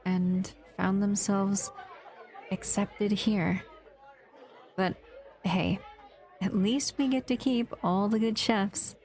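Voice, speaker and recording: A woman acts out a doting, possessive female monster in an intimate audio roleplay. Her voice is lowish and gravelly with fry, often breathy and close to a whisper. She takes her time, lingering softly over her words as a protective lover would. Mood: relaxed, musing. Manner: tender, unhurried, doting murmur.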